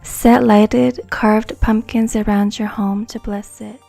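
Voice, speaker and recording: A woman giving a soft-spoken, hushed and soothing ASMR narration from a script. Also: medium pitch, flat delivery, creaky, soft background music. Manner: hushed, slow, soothing delivery.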